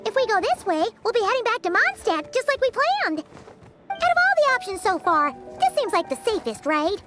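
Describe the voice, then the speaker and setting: Very high-pitched, childlike little dragon. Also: sing-song, normal speed, loud music behind the voice. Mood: hopeful. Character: small, childlike, eager and polite little dragon. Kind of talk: video game voice acting.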